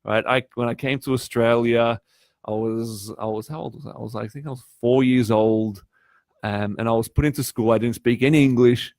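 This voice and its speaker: A man's voice: medium pitch, steady tone, moderate pace, a little mellow, no echo, clean studio sound. A man who grew up speaking Polish, giving a chatty live-streamed coaching talk on test preparation and often turning to his audience with questions. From Poland. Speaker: test prep tutor.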